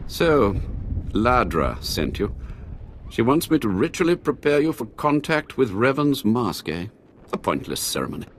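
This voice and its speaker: An actor playing a devout, shrewd cult scholar in a video game, measured and quietly conspiratorial as he persuades. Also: mid-range voice, sing-song, slight background noise. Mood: welcoming, dismissive. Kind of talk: video game cutscene acting.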